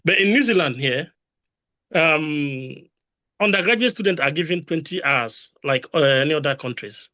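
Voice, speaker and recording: A man's voice: fairly high pitch, lively intonation, normal speed, sharp tone, highs cut off. A man with a Nigerian accent speaking as a mentor over a video call, hesitant with many fillers and repeated words. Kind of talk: casual panel talk.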